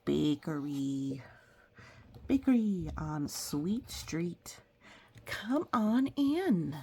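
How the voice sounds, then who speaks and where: A woman's voice: fairly low pitch, very animated, extremely slow, dark tone, a little hiss or hum, exaggerated voice. A woman voicing a cheerful, earnest cookie telling its life story from a children's picture book, with big storytelling emphasis. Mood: cheerful, welcoming.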